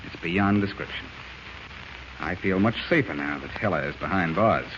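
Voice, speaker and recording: A grave, earnest gentleman official in an old radio play. His voice is on the low side, formally grateful, under noticeable hiss. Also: expressive, moderate pace. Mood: grateful, amazed. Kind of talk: 1940s radio drama acting. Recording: muffled, hissy 1940s radio recording.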